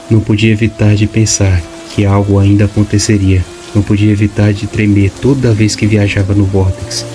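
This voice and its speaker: A man narrating an audiobook story in a measured, even reading. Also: on the low side, low-quality recording. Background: background music.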